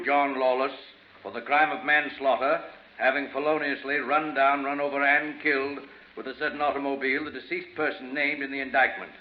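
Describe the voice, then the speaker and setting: An actor playing a formal, solemn judge who pronounces in measured official language, in 1940s radio style. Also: male voice, mid-range voice, close to an everyday voice. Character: solemn, formal courtroom judge passing sentence. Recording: echoey, muffled, noisy old radio recording.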